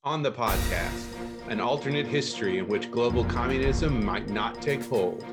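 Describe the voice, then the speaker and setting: A man's voice: medium pitch, moderate pace, loud music behind the voice. Guy guest-hosting a book podcast, ordinary middle voice, reads blurbs from a script, kinda dry and jokey. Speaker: stand-in podcast host and book editor.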